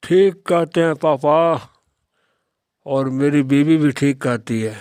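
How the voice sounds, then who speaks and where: Guy as meek young cartoon husband, clean voice bit high, full of excuses. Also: slow, darkish tone, clear, resonant tone, no echo, clean studio sound. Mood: meek, defensive. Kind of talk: moral-story cartoon dialogue.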